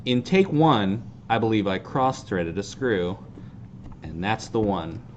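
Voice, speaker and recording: A man in his thirties casually hosting a hands-on how-to demonstration in a deadpan, self-deprecating way. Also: on the low side, slow, muffled recording. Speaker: host of a fan group's how-to video. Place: indoor tabletop video shoot. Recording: clear background noise.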